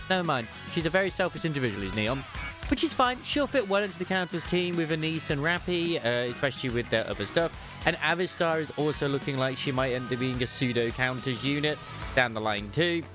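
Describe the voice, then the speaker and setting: A man hosting a gaming video with casual, chatty commentary on a character's skills. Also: mid-range voice. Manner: chatty, self-correcting asides. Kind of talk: casual game kit commentary, reading skill text aloud.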